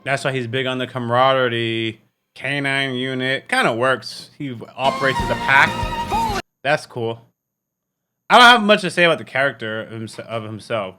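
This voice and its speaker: A man's voice: mid-range voice, sharp tone. A man hosting a reaction video, commenting casually in a slangy, musing way. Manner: slangy, musing, repeats lines he likes.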